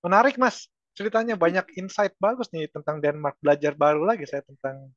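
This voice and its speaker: A man's voice: a little high, steady tone, a little fast, dry recording. Eager male host, dry muffled sound. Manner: eager, frequent short affirmations. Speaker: podcast host. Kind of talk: casual interview chat. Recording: dry, slightly dull sound.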